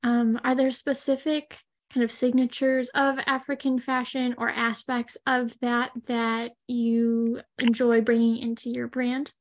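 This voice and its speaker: A woman's voice: a little high, little pitch movement, measured pace, few pauses. A woman hosting a podcast, asking questions in a friendly, affirming way with filler words like "like" and "kind of.". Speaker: podcast host. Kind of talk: interview questions.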